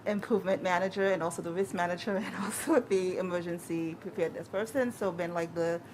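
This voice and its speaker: A woman with a fairly low voice introduces herself at a meeting as a health center manager. Her delivery is informal, with faint background noise. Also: fairly even intonation, fast, a little mellow, low-quality recording. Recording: event microphone with faint room noise.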